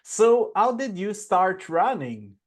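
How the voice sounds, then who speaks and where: A man's voice: high voice, very animated. A man with a Quebec French accent hosting a podcast interview, asking short questions. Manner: brief backchannels and slow questions. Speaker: podcast host. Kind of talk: casual interview.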